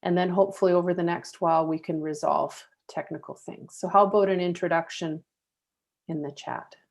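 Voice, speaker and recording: A woman moderating an online panel, calling on speakers calmly and patiently over a video call. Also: fairly low pitch, a little fast. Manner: calm, patient, facilitating.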